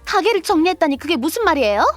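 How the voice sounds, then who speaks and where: A woman's voice: extremely high pitch, big pitch swings, very fast, faint music behind. A woman playing a brazen, money-grubbing younger sister-in-law, whiny and defiant, in a scripted audio drama. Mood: outraged.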